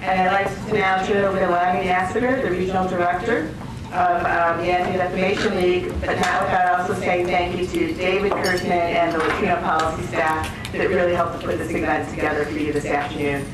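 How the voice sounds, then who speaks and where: A woman's voice: on the low side, little pitch movement, a little fast, heavy background noise. An older woman introducing panelists at a lectern, formal and gracious. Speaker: event host introducing panelists. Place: conference room, at a lectern. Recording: echoey room, distant mic, busy crowd.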